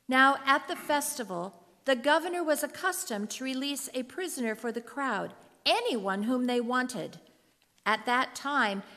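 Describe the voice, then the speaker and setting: Slow, solemn woman narrator in a hall. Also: on the high side, a bit bright. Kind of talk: scripted scripture narration, reader's theater. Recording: long hall reverb.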